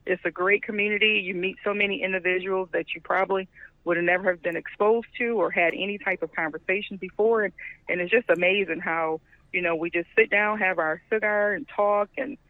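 A man's voice: sits high, fairly even intonation, a little fast, no room sound. A man from Michigan calling in as a podcast guest, talking in an upbeat, chatty way. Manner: upbeat, talkative. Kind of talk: casual interview chat. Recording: tinny dry phone line.

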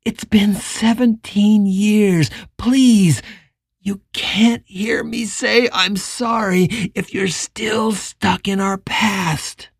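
A reader putting on a remorseful middle-aged man, his voice dropped well below the narration, with a gravelly rasp and a slow, heavy delivery. He pleads with emotion, in a dry, close storybook reading. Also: mid-range voice, darkish tone, dry recording, pitched below the performer's own voice, exaggerated voice. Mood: pleading.

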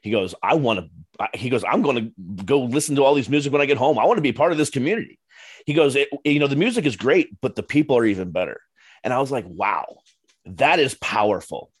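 A man's voice: mid-range voice, animated, quick pace, breathy edge, no echo. A man in his forties hosting a podcast, telling stories in an earnest, rambling, casual way. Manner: earnest, storytelling, repeats himself. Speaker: podcast host.

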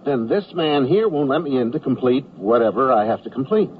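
A man's voice: medium pitch, expressive, brisk, close to an everyday voice. An actor playing a mild, hapless army draftee who tells his own story in an old radio comedy. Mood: flustered, earnest. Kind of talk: first-person radio narration and comedy acting. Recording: noisy, muffled, distant vintage radio recording.

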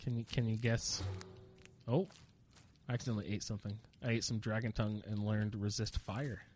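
A man's voice: fairly low pitch, flat delivery, fairly quick, a little mellow, faint music behind. A man giving casual, rambling gameplay commentary, self-deprecating and jokey, sniffling a little with a slight cold. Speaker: gamer narrating own playthrough.

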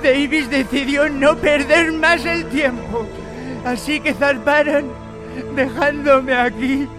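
An actor playing a wary, eager old castaway pirate in a Spanish radio drama, halting and repeating himself, with a Castilian accent. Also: male voice, extremely high pitch, over music. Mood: bitter storytelling. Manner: halting, repeats words, excitable.

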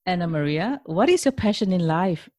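A woman hosting a podcast interview in a formal, courteous way, deliberate and effusive with her compliments. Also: on the low side, lively intonation, dark tone, no room sound, studio quality. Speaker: podcast host.

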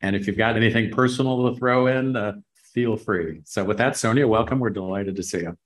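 This is a man with a somewhat low voice. He hosts an interview in a conversational style. His questions come informally and ramble a little as he builds them. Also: fairly quick. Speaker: podcast host, interviewer.

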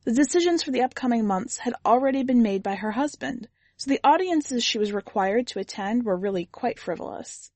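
A woman reading a literary fantasy story as scripted narration, with a measured, deliberate storytelling delivery. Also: on the high side, animated, darkish tone, no room sound. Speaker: podcast host and story reader.

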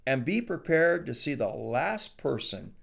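A man reading the part of a kindly young farmer husband, calm and sincere. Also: mid-range voice, measured pace, gravelly, full character voice.

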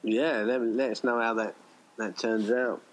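A man's voice: mid-range voice, little pitch movement, measured pace. A man talking casually as a filmmaker guest in an interview, in a rambling way with quoted asides. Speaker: indie filmmaker, podcast guest.

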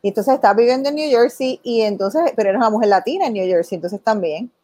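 High-voiced woman hosting an interview. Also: fast, dark tone, no echo. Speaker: interviewer, host. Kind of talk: conversational interview.

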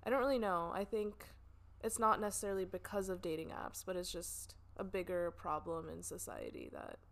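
Twentysomething woman guest musing out loud, lots of um and like. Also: on the low side, sing-song, normal speed, creaky. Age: 20s. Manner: thoughtful, hedging with fillers. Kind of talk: casual chat.

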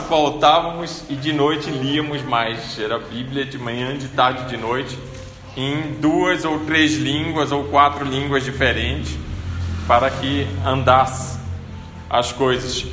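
Preacher, male, bright forceful voice, chatty storytelling sermon, long church reverb. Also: mid-range voice, steady tone, takes it slowly, slight background noise. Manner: conversational storytelling, frequent rhetorical "né?". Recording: echoey church hall with faint ambience.

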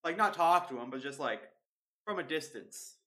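A man's voice: a little high, animated, moderate pace, pushed and bright. A young man co-hosting a casual podcast, reminiscing in an easygoing way. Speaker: podcast co-host. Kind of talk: casual chat.

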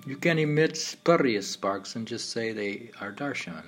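A man reading his own poems aloud in a halting, meditative way, with informal asides. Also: mid-range voice, animated, a bit bright, faint music behind.

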